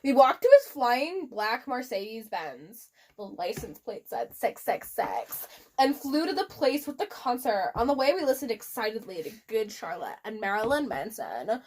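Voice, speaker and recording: A woman's voice: a little high, very animated, moderate pace, a little mellow. A woman giving a comic dramatic reading of fan fiction, theatrical and prone to giggling. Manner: theatrical, giggly, often breaking up. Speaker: reader.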